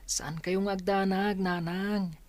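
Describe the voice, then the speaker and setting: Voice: medium pitch, little pitch movement, slow pace, darkish tone, no room sound, natural voice. An actor in an Ilocano radio drama playing a dutiful, determined young student who speaks earnestly and reassuringly to a parent.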